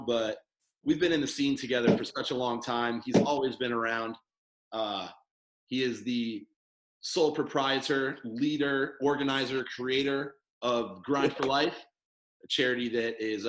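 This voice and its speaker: A man hosting a relaxed podcast, rambling along with plenty of fillers and false starts. His voice sits in an ordinary middle range. The room around him rings with noticeable echo. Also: medium pitch. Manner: loose, rambling, with many fillers and restarts. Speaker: podcast host. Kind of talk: casual podcast chat. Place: studio headquarters room.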